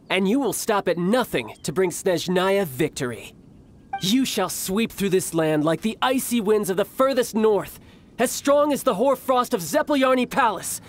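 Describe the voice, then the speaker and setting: A man's voice: high-pitched, slight background noise. An actor playing a composed, articulate young male commander and older brother in a video game. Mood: stern, commanding. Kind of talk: scripted video game dialogue.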